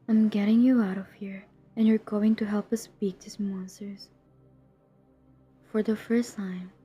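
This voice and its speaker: A woman playing a centuries-old, guilt-ridden but determined vampire hunter in an intimate audio roleplay, speaking in a hushed, confessional, breathy voice that often drops to a whisper. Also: medium pitch. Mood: grim, determined. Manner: hushed, confessional, halting, earnest. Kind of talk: intimate dramatic audio roleplay addressed to the listener.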